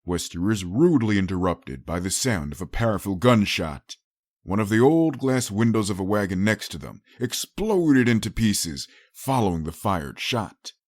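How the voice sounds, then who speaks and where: A man's voice: lowish voice, no echo, clean studio sound. A man reading a scripted story as an audiobook narrator, in a dramatic storytelling style.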